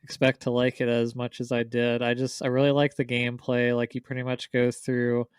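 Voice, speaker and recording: A man's voice: medium pitch, completely flat delivery, a little fast, clean tone, no room sound, clean studio sound. A man co-hosting a podcast, chatting casually about his opinions. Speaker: podcast co-host. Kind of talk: casual chat.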